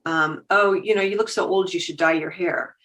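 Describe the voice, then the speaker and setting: A woman in her fifties with a slightly low voice talks with a guest's easy energy. She laughs often and acts out her own thoughts in little quoted asides. The tone is casual and conversational. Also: fairly even intonation, moderate pace, a bit bright. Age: 50s. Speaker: guest, agency founder.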